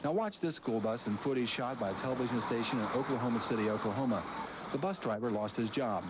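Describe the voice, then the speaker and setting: A man's voice: medium pitch, fast. A man narrating a scripted public affairs television news segment, recorded on a noisy old VHS tape.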